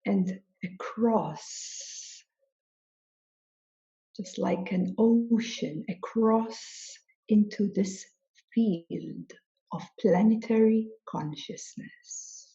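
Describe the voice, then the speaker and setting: A woman guides a meditation as a spiritual teacher. Her voice is soft-edged and mellow, and she speaks extremely slowly, placing deliberate pauses between phrases. The recording is dull and a little muffled. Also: medium pitch, creaky.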